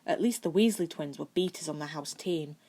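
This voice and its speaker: Woman, lower-pitched voice, amateur audiobook narration, expressive, occasional stumbles. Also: brisk. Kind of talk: scripted fan fiction narration.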